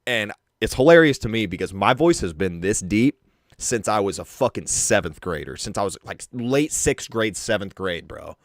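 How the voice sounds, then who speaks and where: Deep-voiced young man mocking bluntly. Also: expressive, normal speed, dry recording, clean studio sound. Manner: crude, mocking, swears a lot. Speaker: commentary streamer. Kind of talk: casual drama commentary.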